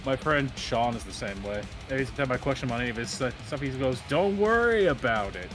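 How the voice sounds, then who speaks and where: A man's voice: medium pitch, quick pace. A man in casual chat as a guest on a stream. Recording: music playing behind the voice. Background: plucked guitar music.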